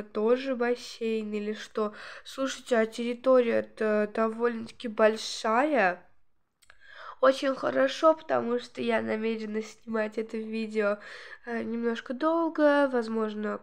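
A woman chatting casually while playing a game, her voice a little hoarse from a cold, rambling with many fillers. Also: on the high side. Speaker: gameplay channel host. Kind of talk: casual gameplay commentary.

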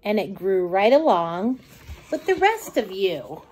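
A middle-aged woman reading a children's picture book aloud in an expressive, deliberate, sing-song way. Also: mid-range voice, slightly rough, low-quality recording. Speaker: children's storytime reader.

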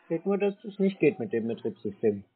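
A man's voice: fairly high pitch, very animated, fairly quick, slightly rough. A man chatting casually on a call, laconic, playing along with naive questions. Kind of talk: casual phone chat. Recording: tinny narrow phone line.

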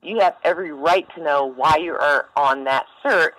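A woman's voice: deep voice, moderate pace, fairly bright tone, dry recording. Woman, helpline staffer, blunt and informal, casual talk, close dry phone sound. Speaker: mental health helpline staffer. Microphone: telephone. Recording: phone call.

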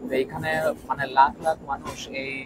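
A man's voice: medium pitch, fairly quick. Chatty man vlogging on a train. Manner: lively, chatty, points things out to viewers. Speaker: travel vlogger. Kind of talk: casual travel vlog narration. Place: train coach and station platform, mostly moving train. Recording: train and station noise clearly behind.

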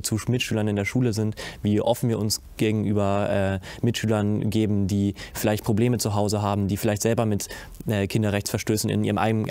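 Gravelly low teenage boy, earnest. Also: a little mellow, no room sound. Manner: long run-on sentences with frequent hesitations. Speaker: young cast member. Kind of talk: panel discussion answer. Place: stage with curtain, indoors.

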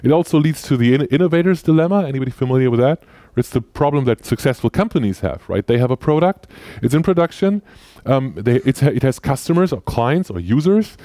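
A man's voice: medium pitch, lively intonation, brisk, mellow, dry recording. A man in his forties giving a conversational conference talk on a headset mic, often tagging sentences with "right?". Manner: conversational, frequent "right?" tag questions; gestures while walking the stage. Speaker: conference speaker. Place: lecture room with projector.

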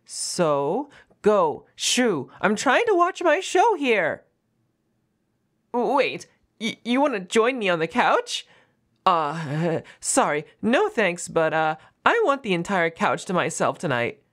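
A woman's voice: mid-range voice, very animated, slow pace. A woman voicing a defensive, flustered young half-wolf girl roommate in an audio roleplay, stammering and evasive with a whiny manner.

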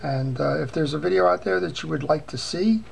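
An older man hosting a fishing reel repair channel, talking casually while showing reels on his workbench, with many "uh" pauses. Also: a little high. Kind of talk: casual show-and-tell. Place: workbench at home. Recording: clear background noise.

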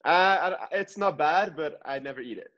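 A man's voice: fairly high pitch, moderate pace, fairly bright tone, highs cut off. A man in his twenties, a pro soccer player, chatting casually as an interview guest. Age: 20s. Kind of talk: casual podcast interview chat.

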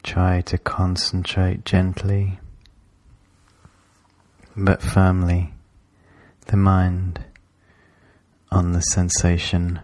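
Very slow, low-pitched male meditation guide. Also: no room sound. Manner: calm, measured, instructional with long pauses.

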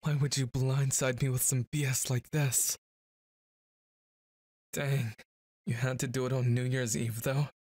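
A man's voice: medium pitch, flat delivery, a little slow, pauses often, a little mellow, no room sound, clean studio sound. A man performing a boyfriend ASMR roleplay, speaking to an unseen listener in a moody, dramatic way.

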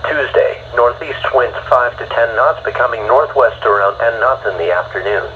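An American man's synthetic text-to-speech voice reading a weather bulletin in a flat, formal way. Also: mid-range voice, normal speed. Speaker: automated weather radio announcer. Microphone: phone held to a radio speaker. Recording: dull radio broadcast re-recorded by phone, faint noise.

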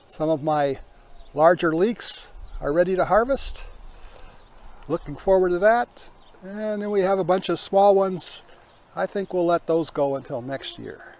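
Man in his 60s, fairly high voice, folksy, unhurried, casual gardening host, outdoors. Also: lively intonation, a little mellow. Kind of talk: casual garden tour talk.

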